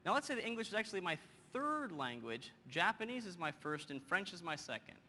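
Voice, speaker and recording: Male presenter, 30s, bright, punchy stage voice, lively demo delivery, big hall with long reverb. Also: high voice. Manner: energetic, quick and joking with the audience. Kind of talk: live software demo on stage. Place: large conference hall stage. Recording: hall reverb, faint noise.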